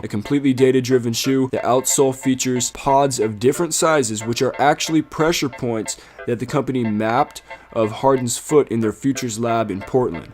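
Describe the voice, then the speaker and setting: A man narrates a scripted sports video in the role of host. His pitch sits in the middle range, and music plays behind his commentary. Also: a little mellow. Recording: music bed under the voice. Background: background music.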